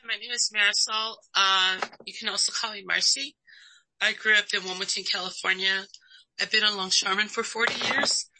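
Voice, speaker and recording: A woman's voice: medium pitch, steady tone, a little fast, pushed and bright, a little hiss or hum, rough recording. An older woman near retirement introducing herself as a candidate at a public meeting, speaking plainly with some hesitation. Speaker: board candidate.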